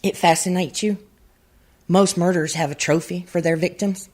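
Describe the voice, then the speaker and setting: A woman's voice: lowish voice, steady tone, moderate pace, darkish tone, lightly acted. A woman playing an earnest young federal agent in a radio comedy parody, probing and confrontational. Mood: challenging. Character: earnest, challenging young female FBI agent.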